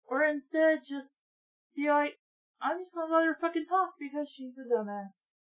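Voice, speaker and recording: A woman's voice: high-pitched, normal speed. A woman reading aloud casually with blunt, sweary, sarcastic running commentary in a ranting manner.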